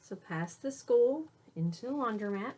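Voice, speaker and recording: A woman teacher reading a picture book aloud to children, pausing for simple explanatory asides. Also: fairly high pitch, animated, mellow, husky.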